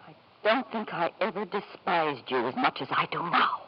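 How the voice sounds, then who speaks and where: A woman's voice: deep voice, lively intonation, normal speed, lo-fi sound. An actress playing a bitter, weary wife and anxious mother in a 1950s radio drama, formal and emotional, on an old broadcast recording. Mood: scornful.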